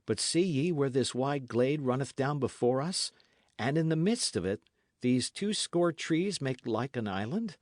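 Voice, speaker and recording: A gruff young squire barking orders in archaic speech, as a male narrator puts him on, his voice pushed up high and lively from the reader's own. Heard in a dry, close audiobook recording. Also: unhurried, dry recording, natural voice. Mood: urgent whisper. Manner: brusque, forceful old-fashioned speech.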